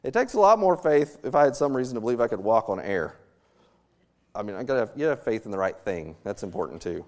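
A man preaching a sermon as a missionary, animated and acting out little scenes with humor. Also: mid-range voice, quick pace. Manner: animated, acts out quotes with humor.

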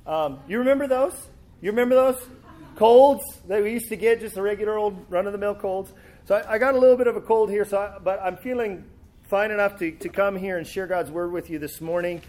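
A man preaching a sermon and reading scripture, talking easily with some fillers, a little hoarse from a cold. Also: high-pitched, fairly quick. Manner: relaxed, conversational, with fillers and restarts. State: sore throat and a cold. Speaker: pastor. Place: church sanctuary.

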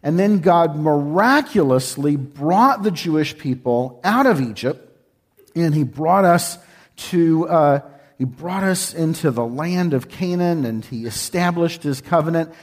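A man's voice: on the high side, animated, a little slow. A man from Texas, a guest speaker giving a folksy, joking sermon in a church. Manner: folksy, joking, sings with congregation. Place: church sanctuary.

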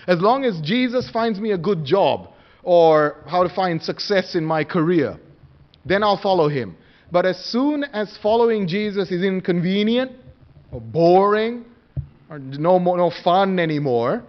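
A man's voice: on the high side, lo-fi sound. A man from Sri Lanka preaching a sermon, earnest and questioning, often restarting his phrases.

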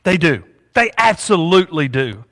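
A male pastor preaches in a church, prompting his congregation with "amen?" and asking them to finish his lines. His voice sits high and rings bright. Long reverb from the sanctuary trails each phrase. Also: sing-song, moderate pace. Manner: call-and-response preaching, asks congregation "what?" and "amen?". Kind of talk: sermon with prayer.

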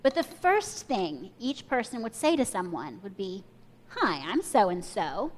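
A woman's voice: medium pitch, very animated, unhurried. A young woman preaching a sermon, telling a personal story and inviting listeners to imagine along with her. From Kenya and the US.

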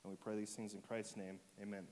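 A man with a sore throat and a fading voice, preaching to his church in a relaxed way, full of ums and restarts. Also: lowish voice, quick pace, slight background noise, rough recording. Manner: joking, relaxed, with fillers and restarts. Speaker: pastor. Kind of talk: sermon.

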